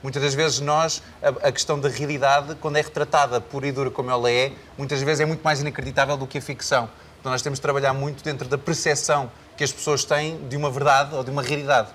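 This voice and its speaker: Fast-talking actor guest. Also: male voice, medium pitch, fairly even intonation, a bit bright, a little hiss or hum. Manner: joking, quick, reflective in Portuguese. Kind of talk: TV talk show conversation. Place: TV studio.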